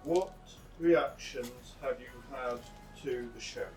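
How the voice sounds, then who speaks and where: Male interviewer, mid-pitched, posing questions from away from the mic, with noticeable echo. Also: slow pace, a little mellow, distant mic. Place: outdoors on a sea front. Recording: echoey, off-mic, faint music behind.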